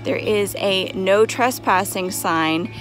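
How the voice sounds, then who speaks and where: A woman's voice: medium pitch, slow pace, music in the background. A woman in her thirties from Texas, chatting casually to camera on a family road-trip vlog, filmed on a phone held at arm's length outdoors. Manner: chatty, playful mock news-reporter asides. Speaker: family travel vlogger. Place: outdoors in windy desert and lookouts.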